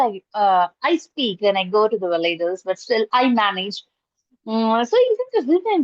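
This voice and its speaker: A woman's voice: fairly high pitch, no echo, highs cut off. A middle-aged woman from India with an Indian accent, whose first language is Urdu, answering interview questions earnestly over a video call with many fillers and restarts. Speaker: guest, college professor and trainer. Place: indoors at home, curtain behind.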